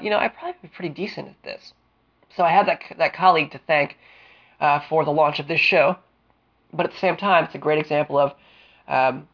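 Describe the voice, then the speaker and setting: A man hosting a solo career-advice podcast, smooth-voiced and deliberate, with a cold and a sniffle, explaining with frequent fillers. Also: fairly high pitch, lo-fi sound. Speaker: podcast host.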